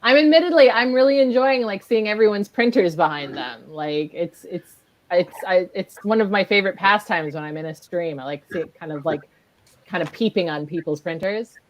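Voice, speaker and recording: A woman's voice: fairly low pitch, moderate pace. A young woman with a Midwestern American accent, chatting animatedly as a guest on a video call, often repeating words as she starts a thought. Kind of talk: casual video call chat.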